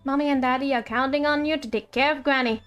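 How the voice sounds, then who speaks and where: A woman's voice: sits high, fairly even intonation, quick pace. A woman streaming a video game, chatting casually with playful asides and reading game text aloud over music.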